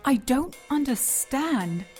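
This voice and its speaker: An actress playing an investigator's anxious, bewildered companion in an audio drama, with urgent questioning. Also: female voice, high-pitched, big pitch swings, takes it slowly, heavily acted. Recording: prominent music behind.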